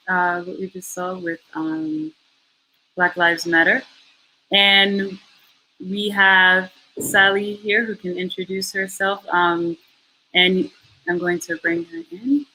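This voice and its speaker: A woman hosts a film festival Q&A over a video call. Her voice is bright and forward at an ordinary pitch. She asks her questions haltingly, with plenty of fillers and light laughter. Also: poor audio. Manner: friendly, hesitant with many fillers and laughs. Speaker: festival Q&A host.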